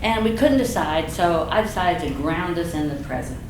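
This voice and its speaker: Deep-voiced older woman addressing a room. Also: animated, normal speed. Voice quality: deep, slightly husky voice. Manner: playful, enthusiastic, self-deprecating. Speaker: community coach and speaker. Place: event room with audience. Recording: echoey room, audible noise.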